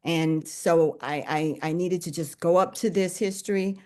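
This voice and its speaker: A woman author telling her own story on a panel in a conversational, deliberate and reflective way. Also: fairly low pitch, steady tone, mellow.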